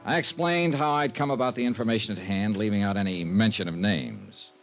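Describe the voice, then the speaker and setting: A man's voice: fairly low pitch, animated, a little fast, lo-fi sound, soft background music. An actor playing a wry, cool-headed male insurance investigator in an old-time radio detective drama, speaking and narrating in a dry, clipped, matter-of-fact way. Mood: dry narration.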